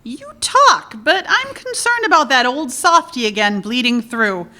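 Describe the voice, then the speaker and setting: A woman voicing a skeptical business-minded smuggler in a radio play, with dry, sarcastic comebacks. Her bright voice rises and falls widely in pitch. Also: sing-song, unhurried, full character voice. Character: dry, practical female smuggling partner, skeptical. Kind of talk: comic radio play acting.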